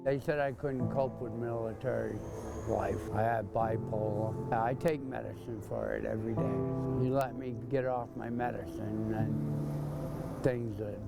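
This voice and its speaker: A male homeless veteran talks off the cuff in a gravelly, creaking voice. Loud music runs behind his interview. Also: fairly low pitch, fairly even intonation, a little slow. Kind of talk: unscripted interview answers.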